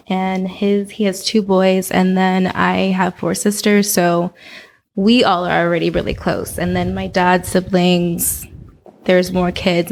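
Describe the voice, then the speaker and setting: Young woman from Oakland, low gravelly voice, relaxed chatty interview guest. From Oakland, California. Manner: relaxed, chatty, with frequent fillers. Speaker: podcast guest. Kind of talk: casual interview chat.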